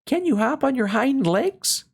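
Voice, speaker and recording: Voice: high voice, lively intonation, a little slow, breathy edge, voice pushed up, full character voice. A storybook reader voicing a brash young male wild rabbit, blunt, boastful and teasing. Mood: breezy, challenging.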